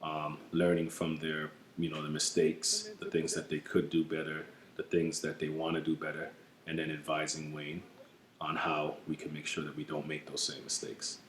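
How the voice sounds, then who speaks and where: A man from the US speaking in a documentary interview as a program adviser, measured and earnest, often saying "you know". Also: a bass voice, monotone, fairly bright tone, reverberant, a little hiss or hum. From United States.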